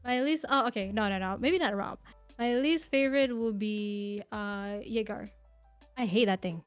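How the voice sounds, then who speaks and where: A woman's voice: medium pitch, normal speed, no room sound, faint music behind. A woman in her twenties, a podcast guest chatting casually, telling rambling stories with lots of fillers and giggles. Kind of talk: casual interview chat.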